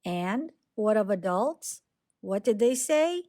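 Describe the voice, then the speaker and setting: Woman, children's read-aloud, unhurried pace, medium pitch, expressive rhyming narration. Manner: expressive, deliberate storytelling.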